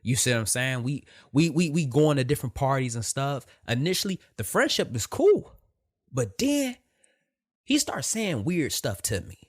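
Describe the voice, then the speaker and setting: A young man from Detroit with a soft voice, hosting a casual podcast monologue, repeating phrases and swearing as he tells a story. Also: medium pitch, big pitch swings, normal speed. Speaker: podcast host.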